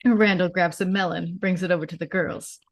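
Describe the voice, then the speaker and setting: A woman's voice: fairly low pitch, fairly even intonation, brisk, vocal fry, no room sound. A woman narrating a script at a table read, reading out scene headings and stage directions.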